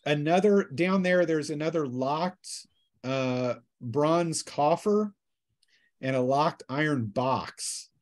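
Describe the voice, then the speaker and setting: A man working as game master, narrating a tabletop role-playing session in a casual, improvised, halting way. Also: on the high side.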